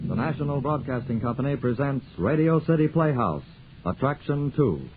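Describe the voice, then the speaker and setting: A man announcing formally as a network radio announcer, in a polished, measured manner. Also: mid-range voice, animated, very noisy. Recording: dull 1940s radio broadcast with music.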